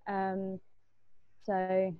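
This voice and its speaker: A woman's voice: mid-range voice, monotone, drawn-out words, smooth, dry recording. A young adult woman with an English accent, casually recalling personal experiences in a group discussion. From England. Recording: thin phone-like band-limited sound.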